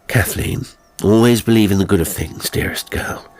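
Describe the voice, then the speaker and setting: A man voicing a tender, troubled gentleman in an audiobook, speaking in an earnest, hushed, halting way. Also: fairly low pitch, close to an everyday voice. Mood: tender, urgent. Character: tender, troubled gentleman urging his sweetheart.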